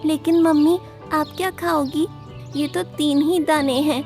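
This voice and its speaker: Woman putting on a high little baby bird. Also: fairly even intonation, unhurried, darkish tone, soft background music. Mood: earnest. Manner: earnest, childlike. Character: sweet young magical baby bird, male.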